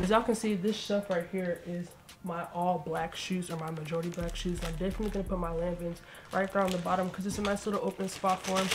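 A woman's voice: on the low side, noisy background. A young woman talking casually through a sneaker unboxing, a bit congested, in a slangy, chatty way. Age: young adult. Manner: laid-back, chatty, slangy. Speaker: sneaker vlogger. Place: bedroom with shoe shelves, indoors.

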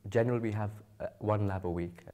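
Mellow, quick-spoken young man from Scotland. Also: fairly low pitch. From Glasgow, Scotland. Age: young adult. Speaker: engineering student. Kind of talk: casual interview talk.

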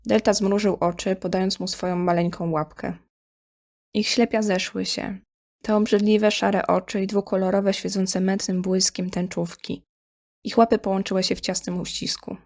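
A woman's voice: mid-range voice, level tone, normal speed, a little mellow. A woman reading scripted story narration in Polish as the narrator of an audio drama.

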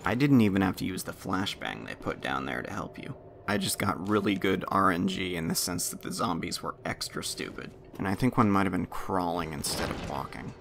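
A man's voice: sits low, sing-song, fairly quick, creaky, a bit bright, slight background noise. A man giving casual, offhand and frank commentary on his own video game playthrough.

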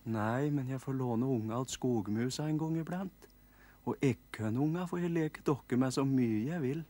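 A man's voice: mid-range voice, warm tone, pitched above the performer's own voice, natural voice. A man voicing a bossy little gnome girl who speaks matter-of-factly. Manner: matter-of-fact, demanding.

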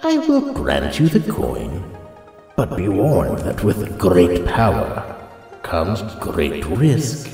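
A man playing an ancient, solemn guardian spirit who speaks gravely and portentously in a superhero audio drama. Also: low voice, huge pitch swings, slow, a little mellow, soft background music, exaggerated voice. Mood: grave, testing. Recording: long cavernous reverb.

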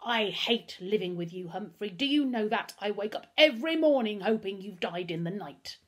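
A menacing man from a children's audiobook, acted out by a woman. The voice has a husky edge and snarls with disgust, suspicious and bullying, flinging accusations and threats. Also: medium pitch, big pitch swings, moderate pace, pitched above the performer's own voice. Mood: disgusted, bitter.

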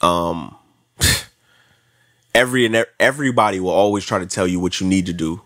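A young American man in his late twenties speaks in a fairly low voice. He talks casually, like a guest telling stories in an interview, often repeating phrases for emphasis. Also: brisk, fairly bright tone. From South Florida, United States. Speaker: podcast guest, track athlete.

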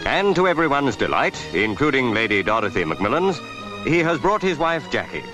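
A man's voice: medium pitch, very animated, a little fast. A man narrating a 1960s newsreel in scripted commentary over music. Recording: archive newsreel with music bed.

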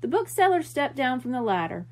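Woman, high voice, animated intonation, children's book narration, expressive read-aloud. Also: a little fast. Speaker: library storyteller reading a children's book.

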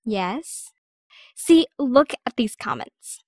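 A woman's voice: fairly high pitch, expressive, takes it slowly, a little mellow, slightly rough, dry recording, studio quality, heavily acted. A woman playing a bossy, vain, manipulative teenage girl giving lessons in an audio drama.

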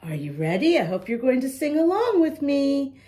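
A woman's voice: sits high, sing-song, moderate pace, mellow. A woman reading a picture book aloud to young children, adding chatty little asides for her listeners. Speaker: children's storybook reader.

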